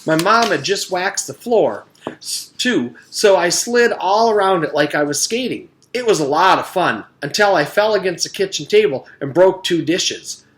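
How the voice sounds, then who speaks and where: A man reading a children's storybook, voicing a chatty, animated young schoolboy. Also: sits high, normal speed, pitched above the performer's own voice. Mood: gleeful. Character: boy classmate telling a sliding mishap. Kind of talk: children's storybook read-aloud.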